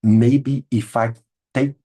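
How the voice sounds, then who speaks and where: Husky-voiced man, emphatic webinar host. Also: mid-range voice, fairly even intonation, slow pace, dark tone. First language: Portuguese. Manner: emphatic, repeats words, says "okay?" a lot. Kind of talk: informal webinar talk answering chat questions. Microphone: video call.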